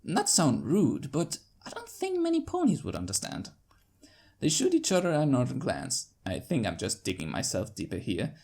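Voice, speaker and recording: A woman's voice: rumbling low, wildly swooping pitch, moderate pace, darkish tone, breathy edge. A woman narrating a story in the first person, reading expressively. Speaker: fan fiction narrator.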